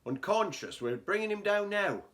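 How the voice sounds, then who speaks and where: A man's voice: high-pitched, expressive, moderate pace. A man playing an obedient, deferential sailor with clipped replies in a radio drama. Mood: urgent. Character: dutiful, deferential sailor reporting to his captain. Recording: noisy recording with clicks.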